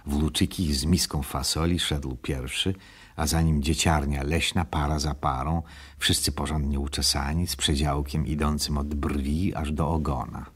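A man narrating a children's storybook radio play as an unhurried storyteller. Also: rumbling low, fairly even intonation, husky. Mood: calm narrating.

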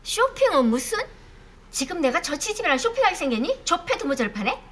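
A woman's voice: very high voice, sing-song, brisk, a bit bright, heavily acted. An actress in her fifties playing an exasperated, combative mother who nags and scolds in a sitcom. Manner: nagging, combative. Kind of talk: sitcom acting.

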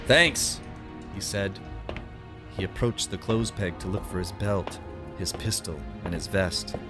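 A man narrating a first-person short story dramatically, with deliberate pauses and emotive emphasis. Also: deep voice, fairly even intonation, over music.

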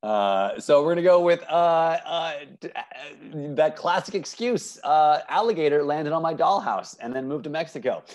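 A man's voice: on the high side, lively intonation, normal speed, darkish tone. A man in his thirties hosting a playful game show over a video call, upbeat and often repeating answers back. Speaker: improv teacher and game show host. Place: indoor room at home, on a video call.

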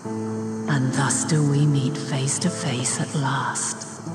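Very slow, low-voiced serene goddess. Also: female voice, fairly even intonation, reverberant, loud music behind the voice, heavily acted. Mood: serene, welcoming. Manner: solemn, deliberate, ethereal. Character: serene, ancient luminous female goddess of the crystal. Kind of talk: solemn video game voice acting.

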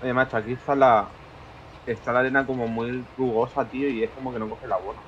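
A relaxed man comments on the game as he plays. His voice sits at a middle pitch, and the sound is a touch dull. Faint noise stays underneath. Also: quick pace. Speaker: co-player. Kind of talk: casual gaming chat.

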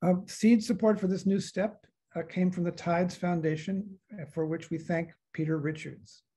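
A man in his sixties hosts an event over a video call from his office. His voice is high, soft-edged and husky. He reads formal opening remarks and often halts to find the next word. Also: fairly even intonation, moderate pace. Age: 60s. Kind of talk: formal introductory remarks read from notes. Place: office with bookshelves, indoors. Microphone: video call computer microphone.

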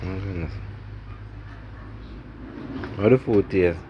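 A middle-aged Jamaican man with a fairly low voice takes his time as he chats in casual patois, often saying a phrase again. He sounds like he is filming on a phone out on a veranda, with faint background noise. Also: slow, dull audio. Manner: repeats phrases, speaks in patois. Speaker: family vlogger. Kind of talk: casual home vlog chat. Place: outdoors on a wooden veranda at home. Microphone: handheld phone.

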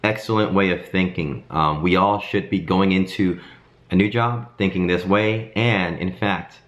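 Casting: man in his 30s, deep voice, slightly hoarse, talking casually to camera at home. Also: a little slow, muffled recording. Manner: animated hand gestures, frequent "um" and "okay?". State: hoarse from a cold. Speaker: design career vlogger. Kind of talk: casual advice talk to camera. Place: home kitchen, indoors.

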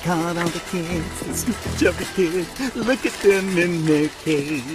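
A man's voice: sits high, low-quality recording. An actor playing a gloating, villainous ice cream man who taunts children in a singsong way for a horror game. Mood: gleeful, gloating. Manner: slow, gloating, singsong taunting with drawn-out laughs. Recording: loud music over the voice.

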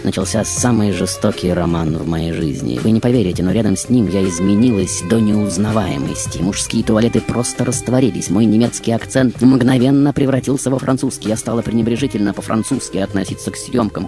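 Man playing a theatrical film diva, low-voiced, over music. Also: natural voice. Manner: theatrical, arch, swooping between hushed and loud. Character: glamorous aging female film star recalling lovers, theatrical, boastful. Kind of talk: dramatic first-person radio monologue. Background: music behind the voice.

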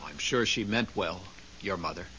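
An actor playing a naive, sentimental newly dead husband's ghost in a radio drama, earnest and polite. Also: male voice, low-pitched, normal speed, bright, forceful tone, low-quality recording. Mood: earnest, puzzled. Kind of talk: 1970s radio drama acting. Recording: hissy old radio recording.

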